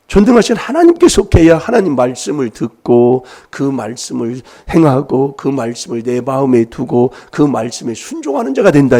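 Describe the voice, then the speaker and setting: A man's voice: medium pitch, warm tone. A man preaching an emphatic sermon in a church, prodding his listeners with rhetorical questions while he has a cold. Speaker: pastor.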